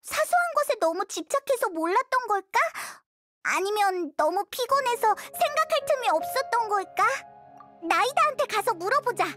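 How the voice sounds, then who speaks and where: A tiny, excitable fairy girl for a video game. The voice is very high and childlike, expressive and full of curiosity, recorded dry over light music. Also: normal speed, no echo. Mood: puzzled.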